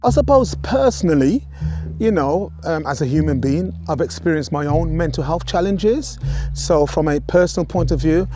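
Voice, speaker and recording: A man giving a reflective interview, speaking in a measured, earnest and somewhat hesitant way. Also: fairly high pitch, expressive, music in the background. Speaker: interviewee in a documentary.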